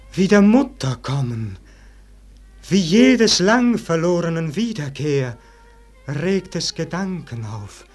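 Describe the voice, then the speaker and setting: An actor playing a melancholy, world-weary scholar in a classic radio drama, declaiming verse lyrically and solemnly. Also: male voice, medium pitch. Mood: rising rapture. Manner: solemn, lyrical declamation swinging between hushed murmur and fervent swell. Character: melancholy world-weary scholar, rapt by music, longing for lost life. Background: music.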